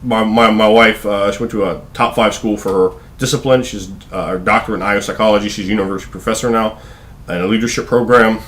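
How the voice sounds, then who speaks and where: Low-voiced Southern man rambling to camera. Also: fairly even intonation, fast talker, fairly bright tone. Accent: Southern US (Virginia). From Virginia, USA. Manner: rambling, many fillers and restarts. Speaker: vlogger. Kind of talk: casual talking-head vlog. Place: living room at home.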